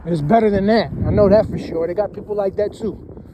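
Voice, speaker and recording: A man's voice: sits high, brisk, background noise. A man of thirty, giving a casual, rambling motivational talk and often tagging lines with "man" and "you know what I'm saying". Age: 30s. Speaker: vlogger.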